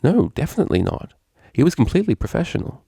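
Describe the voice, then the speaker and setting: Storybook role: proper female pony cellist, anxious and polite, raised pitch, performed by a male narrator. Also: big pitch swings, quick pace, creaky, mellow, breathy edge, no echo, studio quality, full character voice. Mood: reassuring. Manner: polite, careful, hesitant.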